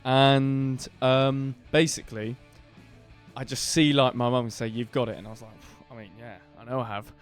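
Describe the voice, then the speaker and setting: A man with a booming voice, co-hosting a casual band podcast and telling a story in a rambling way with many fillers and restarts. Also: fairly even intonation, moderate pace, soft background music. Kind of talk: casual chat.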